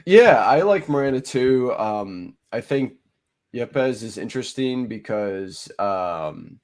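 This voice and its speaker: A man's voice: medium pitch, animated, slow, a little mellow. A man with a stuffy, nasal voice from a cold, hosting a casual podcast chat and rambling with lots of fillers. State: congested from a cold. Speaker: podcast host.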